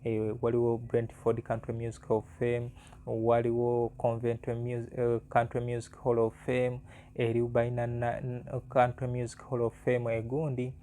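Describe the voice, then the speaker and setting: Ugandan male vlog host, relaxed dark tone, conversational, repeats for emphasis, slight noise behind. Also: medium pitch, normal speed. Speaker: music analyst and channel host. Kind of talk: informal music vlog presentation.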